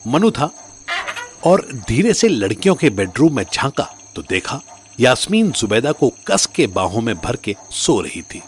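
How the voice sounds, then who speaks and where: Lively male narrator of an audio drama. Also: medium pitch, measured pace, a little hiss or hum. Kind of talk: dramatic scripted story narration.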